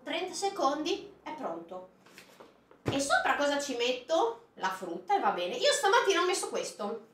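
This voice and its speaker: Lively, chatty woman presenter. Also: high voice, normal speed, a little hiss or hum. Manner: animated, talks straight to viewers, asks them questions. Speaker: food box presenter. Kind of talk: chatty product unboxing with recipe tips. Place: small indoor studio set with produce crates. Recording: echoey room.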